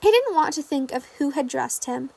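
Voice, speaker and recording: A woman's voice: sits high, animated, normal speed, creaky, dark tone. A woman reading fan fiction aloud as a scripted story narration.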